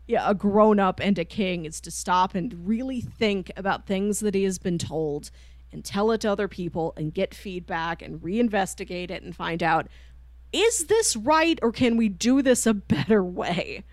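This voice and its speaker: A woman's voice: medium pitch, normal speed, no echo. A woman co-hosting a podcast, chatting casually and emphatically about a book. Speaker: podcast co-host. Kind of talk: casual book discussion chat.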